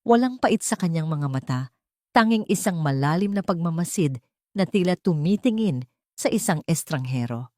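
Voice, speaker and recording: Filipino woman narrator, lowish voice, close and dry. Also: expressive, normal speed, a little mellow, dry recording. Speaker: story narrator. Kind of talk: dramatic scripted storytelling narration.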